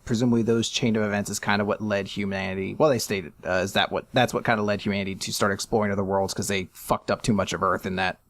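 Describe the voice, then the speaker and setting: A man's voice: fairly low pitch, steady tone, fast, few pauses, a bit bright, no room sound. A man co-hosting a podcast, chatting casually with wry, sarcastic asides and casual swearing. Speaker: podcast co-host. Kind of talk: casual chat.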